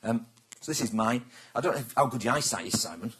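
A man's voice: medium pitch, fast, fairly bright tone. A man giving a business talk to a live audience, chatty and teasing, throwing questions at the crowd. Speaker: presenter.

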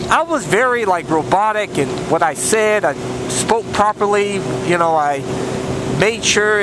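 A man vlogging from his motorcycle on country roads, talking bluntly and rambling with plenty of cussing, picked up by a helmet mic. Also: high voice. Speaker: motovlogger. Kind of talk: casual riding commentary. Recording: loud wind and road noise from a helmet mic. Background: loud wind and engine noise.

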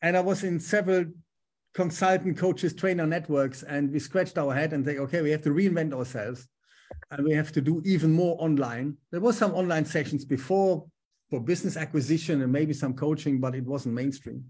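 A man's voice: a little high, moderate pace, a little mellow. A middle-aged man with a German accent, a business coach speaking on a video call from home in an upbeat, talkative way. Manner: upbeat, talkative, frequent ums. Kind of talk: casual interview on a video call. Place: home room with a lamp.

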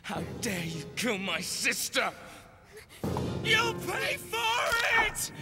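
A maniacal game villain bent on revenge. His melodramatic voice is extremely high and swoops wildly, with loud music around it. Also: huge pitch swings, exaggerated voice. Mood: wailing rage. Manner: menacing, melodramatic, drawn-out. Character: vengeful, maniacal brother killer wielding blades. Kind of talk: theatrical video game cutscene acting.

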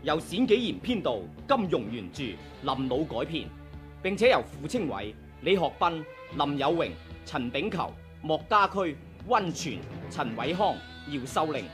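A male radio announcer with a Hong Kong Cantonese accent reading the closing credits in a formal, measured way. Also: fairly high pitch, very animated. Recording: old radio broadcast with music.

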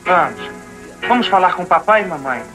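A troubled teenage boy in a cartoon drama. He speaks earnestly and emotionally in a very high voice with lively swings, over music. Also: moderate pace, rough recording. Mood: remorseful. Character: troubled, anxious teenage older brother. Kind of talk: dubbed cartoon drama acting.

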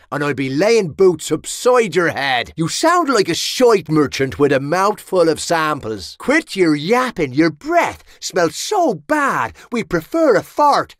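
An actor with an Irish accent playing a crude, sardonic medieval mercenary soldier in a video game. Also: male voice, on the high side, fairly bright tone, no echo, studio quality, full character voice. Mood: threatening. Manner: sardonic, foul-mouthed, chuckling taunts. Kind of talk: game battle barks.

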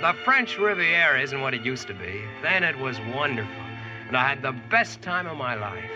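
An actor with an American accent playing a confident, wry Yankee boss and storyteller in a 1940s radio drama, plain-spoken. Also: male voice, mid-range voice, sing-song, moderate pace, close to an everyday voice. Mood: wry, reflective. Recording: muffled vintage radio, music underneath.

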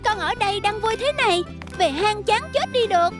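A woman voicing a cocky, stubborn young male donkey foal in an animated fairy tale, over loud children's music. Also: very high-pitched, moderate pace. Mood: defiant, whiny.

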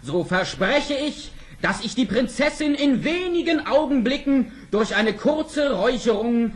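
An actor playing a bold, ardent young prince, declaiming theatrically in an audio fairy-tale drama. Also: male voice, very high voice, expressive, unhurried. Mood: measured, scheming.

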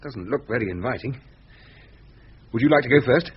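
An actor playing a brusque, sceptical British husband with dry humour in a radio thriller, husky-voiced. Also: male voice, medium pitch, expressive, normal speed. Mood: gruff, determined. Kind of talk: radio thriller drama acting. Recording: dull radio drama recording.

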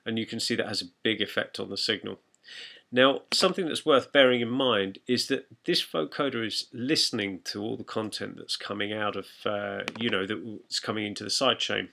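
A man narrating an informal software tutorial in a conversational, explanatory way. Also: lowish voice, fairly quick, gravelly. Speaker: music production tutor.

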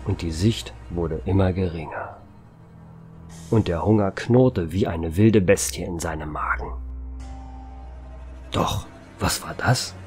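A man's voice: deep voice, a little mellow. A man reading dramatic scripted narration of a horror audio story. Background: background music.

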